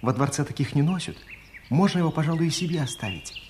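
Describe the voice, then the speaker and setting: Low-pitched, bashful young gardener lad. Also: female voice, animated, quick pace, darkish tone, slight background noise. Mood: musing. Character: humble shy young male gardener, tender, self-mocking. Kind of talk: theatrical fairy-tale radio play acting.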